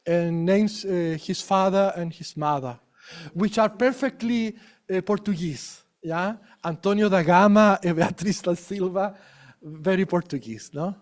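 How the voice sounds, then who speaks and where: A man presents research at an academic conference. He speaks haltingly, restarting his phrases and tagging sentences with little questions to the room. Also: high voice, a little mellow. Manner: hesitant, many restarts and tag questions. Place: lecture room.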